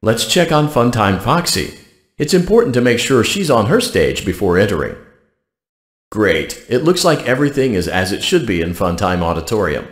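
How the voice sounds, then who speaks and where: Deadpan automated guide, echoing, low. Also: male voice. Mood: instructive, officious. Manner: measured, cheerfully officious, deadpan. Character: polite, deadpan, callous male automated facility guide. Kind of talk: scripted game announcements and instructions.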